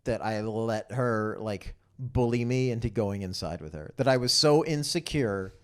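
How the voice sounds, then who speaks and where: A man's voice: lowish voice, normal speed, smooth. A young man, a podcast guest, chatting casually with wry jokes. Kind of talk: casual chat.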